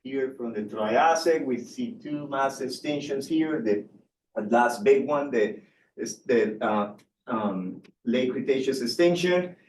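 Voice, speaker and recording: A man's voice: medium pitch, slow pace, a little mellow, roomy sound, recorded from a distance, a little hiss or hum, low-quality recording. A man from Colombia giving a university seminar lecture, hesitant with many fillers and restarts. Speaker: guest lecturer. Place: lecture room.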